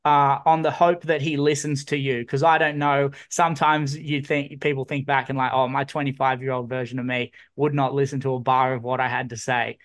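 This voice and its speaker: A man's voice: mid-range voice, level tone, brisk, few pauses, fairly bright tone. A man, hosting an easygoing interview, talking in a reflective way with lots of fillers. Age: 20s. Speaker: podcast host. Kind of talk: casual interview chat.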